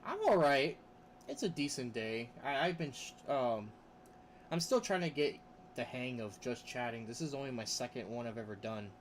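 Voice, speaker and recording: A man's voice: expressive, normal speed, a little hiss or hum. A man chatting casually on a livestream, rambling with restarts and laughing often between thoughts. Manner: says his voice range is pretty high. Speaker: streamer. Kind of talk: casual livestream chat, reading chat aloud.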